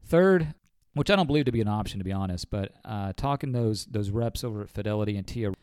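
A man's voice: fairly low pitch, animated, fast talker, darkish tone, clean tone, dry recording, studio quality. A man in his forties with a cold, a financial advisor explaining retirement savings on a podcast in a folksy way, often calling listeners folks. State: has a cold.

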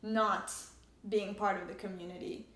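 A young woman, a native Farsi speaker who grew up in Canada and France, talks in a roomy, echoing space. She explains herself conversationally and articulately as an interviewee, at a medium pitch. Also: fairly even intonation, normal speed, fairly bright tone. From Canada and France, Iranian family. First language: Persian (Farsi). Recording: echoey room.

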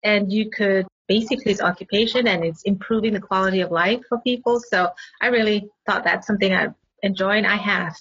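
Woman from Malaysia, forties, husky voice, lo-fi remote audio, friendly storytelling. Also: mid-range voice, brisk, hardly any pauses, fairly bright tone. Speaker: guest, pediatric occupational therapist. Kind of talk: casual interview.

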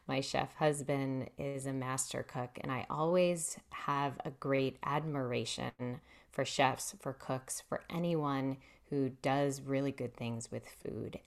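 A woman podcast host, introducing her episode and guest in an earnest, enthusiastic way. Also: deep voice.

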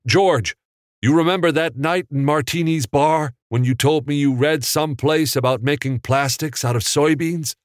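A man's voice: medium pitch, lively intonation, fairly quick, few pauses, no room sound, studio quality, voice pushed up, close to an everyday voice. A man voicing an eager, pushy young actor reading lines off camera.

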